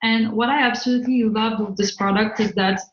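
A woman's voice: on the high side, fairly even intonation, brisk. A woman hosting a podcast, chatting casually and effusively as she interviews and reviews products. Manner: effusive, informal. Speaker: podcast host. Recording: roomy, slightly dull sound.

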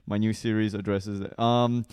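A man in his thirties, a comedian from Malaysia raised in Singapore, speaking with an international school accent in animated, laughing chat full of swearing. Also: fairly low pitch, normal speed, clean tone. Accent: international school English. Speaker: comedian guest. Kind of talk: casual chat.

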